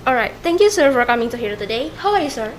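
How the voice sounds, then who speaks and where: A woman's voice: a little high, very animated, very fast, slight background noise. A teenage girl, hosting a student podcast in English and asking careful, polite questions. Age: teens.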